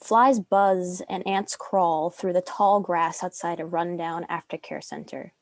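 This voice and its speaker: A woman's voice: on the low side, steady tone, measured pace, no room sound. A young woman reading stage directions as the narrator of a dramatic script. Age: young adult.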